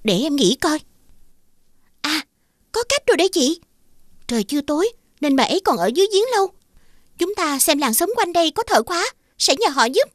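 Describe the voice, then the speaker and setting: A woman's voice: sits high, sing-song, fairly quick, pauses often, no echo, clean studio sound. A woman playing a kind, polite, earnest young girl in a scripted children's fairy tale. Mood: eager.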